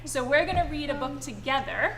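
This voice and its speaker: High-pitched female educator, animated and encouraging read-aloud for kids, live in an echoey room. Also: a little fast, crowd noise. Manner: encouraging, addresses children with endearments. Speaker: educator reading aloud to children. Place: indoor school family event, live audience. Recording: echoey room with background noise.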